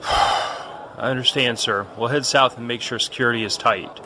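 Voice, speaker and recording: A dutiful soldier in an audio drama, respectful with a bright, sharp edge to his voice. Faint noise sits underneath. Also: medium pitch, fairly even intonation, normal speed.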